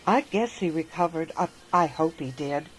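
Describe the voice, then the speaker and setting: A woman reading a rhyming children's story aloud in a gentle, sing-song manner. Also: sits low, animated, normal speed, a little hiss or hum, low-quality recording. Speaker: narrator.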